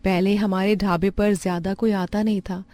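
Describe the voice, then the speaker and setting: A woman voicing a kind, humble young village wife who runs a roadside eatery in a cartoon story, speaking earnestly and gently. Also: medium pitch, flat delivery, moderate pace, close to an everyday voice. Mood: grateful.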